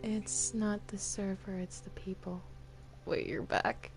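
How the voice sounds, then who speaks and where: A woman chatting casually in a game roleplay, with a hesitant, halting way of talking. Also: medium pitch, fairly even intonation. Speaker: player in a Minecraft roleplay server. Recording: music behind the voice. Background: music.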